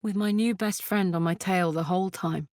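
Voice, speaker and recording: A woman's voice: fairly low pitch, steady tone, moderate pace, a little mellow, no echo, clean studio sound, close to an everyday voice. A woman voicing a brash, big-hearted fiery tiefling warrior in a video game, blunt, slangy and swaggering. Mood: musing. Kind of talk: video game character voice lines.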